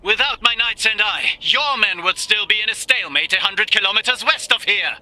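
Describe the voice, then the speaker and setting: An actor playing a brash, arrogant, impatient knight officer in a war game, boastful and dismissive. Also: male voice, sits high, expressive, normal speed, bright, forceful tone, dry recording, exaggerated voice, poor audio. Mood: confident, boastful. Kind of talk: dramatic military game acting.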